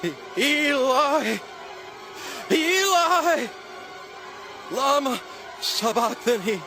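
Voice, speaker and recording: A man's voice: very high voice, lively intonation, very slow, bright, forceful tone, exaggerated voice. An actor playing an anguished dying man crying out in agony in a Bible drama. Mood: anguished despair. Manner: agonized, crying out. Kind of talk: dramatized audio Bible acting. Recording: music behind.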